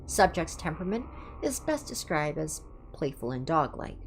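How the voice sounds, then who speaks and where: A woman livestream host giving an ASMR reading of scripted horror entries in a measured, clinical manner. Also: sits low, very animated, slight background noise. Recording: music behind the voice. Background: background music.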